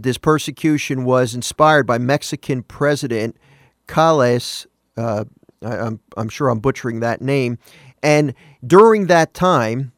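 A man's voice: mid-range voice, dry recording, studio quality. Earnest man talking on morning radio. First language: not Spanish. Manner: earnest, conversational, self-correcting, asks listeners questions.

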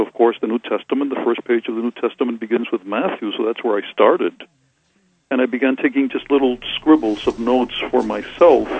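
Older man gravelly, husky voice on a phone line. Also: medium pitch, dry recording. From Cuba. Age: 60s. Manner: soft-spoken, reflective, frequent hesitations and restarts. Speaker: Trappist monk and author. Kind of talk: thoughtful interview answers.

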